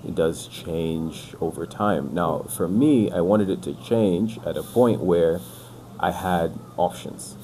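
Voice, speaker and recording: Very deep-voiced young man, rambling. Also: takes it slowly, hardly any pauses. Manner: relaxed, rambling, says "like" and "bro" a lot. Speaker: podcast guest. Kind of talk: casual chat. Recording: noisy background.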